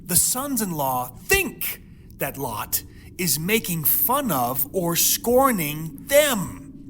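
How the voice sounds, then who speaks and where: A man delivering a dramatic Bible teaching monologue, emphatic, with rhetorical questions and pauses for effect, over noticeable background noise. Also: on the high side. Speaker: host of a Bible teaching series.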